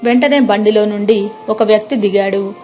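A woman's voice: medium pitch, steady tone, normal speed, a little mellow. A woman reading a scripted story as a storyteller. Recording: music behind the voice. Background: background music.

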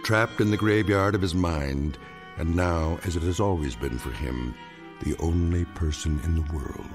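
A man giving the closing narration of a radio drama in a solemn, measured way. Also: low voice, music in the background.

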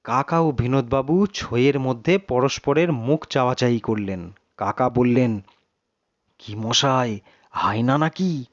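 A man reading a suspense story in a measured, literary, dramatic narration. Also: mid-range voice, dry recording, muffled recording.

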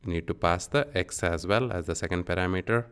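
A man with a low, very clear and smooth voice teaches programming. He speaks in short instructional phrases, dictating each step of a software tutorial as he goes. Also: fairly quick.

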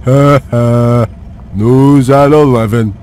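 Man as a very slow, dopey weasel. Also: medium pitch, fairly even intonation, a little mellow, clear, resonant tone, natural voice. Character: dim-witted, slow male weasel henchman. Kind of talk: exaggerated video game cartoon acting.

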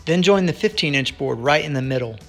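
A man narrating a woodworking build over faint background music. His delivery is plain and measured, walking through each cut and measurement as a practiced how-to voice-over. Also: a little high, expressive, gravelly, fairly bright tone. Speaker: DIY woodworking instructor. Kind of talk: scripted step-by-step voice-over narration.

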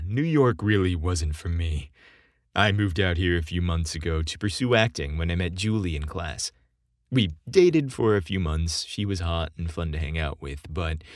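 Guy doing a flirty grown-up frat dude for an audio story, low sexy voice, lots of swing in it. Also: no echo, studio quality. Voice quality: deep, sexy tone. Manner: casual bro slang. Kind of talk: audio story character dialogue.

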